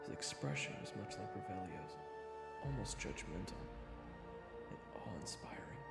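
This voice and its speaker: A man's voice: deep voice, moderate pace, vocal fry, echoey room, in a large hall, over loud music. A man playing a brash, sarcastic young hero who also narrates a fantasy audio drama in blunt first person. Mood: storytelling.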